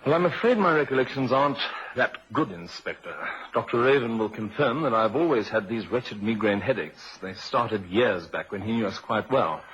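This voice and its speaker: A shaken husband under questioning in an old radio play. His voice is fairly low, halting and defensive, heard through a muffled recording with faint hiss. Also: animated, fairly bright tone. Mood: defensive, evasive. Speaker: actor. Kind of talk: vintage radio drama acting.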